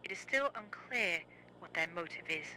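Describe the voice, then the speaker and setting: A performer playing a formal, measured news reporter reading a scripted bulletin in a horror series. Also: medium pitch, animated, bright, forceful tone, a little hiss or hum, poor audio. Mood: grave, formal.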